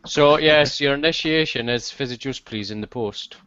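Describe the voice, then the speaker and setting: A man's voice: medium pitch, moderate pace, sharp tone, smooth, dry recording. A man on a group stream call speaking in a mock-formal, welcoming way. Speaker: stream panelist. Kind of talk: casual group chat. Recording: dull dry lo-fi call audio.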